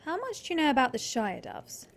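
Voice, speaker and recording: A woman's voice: high-pitched, big pitch swings, a little fast, a little hiss or hum. A woman playing a blunt, foul-mouthed young princess turned monster hunter. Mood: wry. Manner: blunt and curt, swears freely. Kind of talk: fan audio drama acting.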